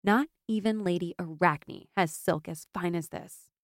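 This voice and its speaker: A woman's voice: lowish voice, unhurried, no room sound, clean studio sound. A woman voicing a loyal, stubborn young woman in a fantasy audiobook, insistent and impassioned. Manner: insistent, impassioned, gaining momentum. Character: fierce, stubborn, loyal young woman, pleading best friend.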